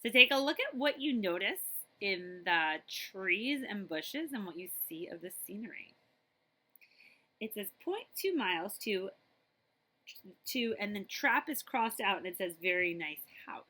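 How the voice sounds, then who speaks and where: A woman librarian reading a picture book aloud to children expressively, with chatty asides and questions to her listeners. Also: mid-range voice. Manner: animated, chatty, pausing to ask listeners questions.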